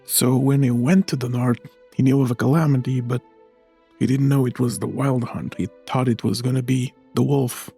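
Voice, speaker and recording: Gravelly, husky man commenting on a game. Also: mid-range voice, fairly even intonation, normal speed, warm tone. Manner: informal, reactive, thinking aloud. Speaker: game streamer. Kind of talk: casual game playthrough commentary. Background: game music.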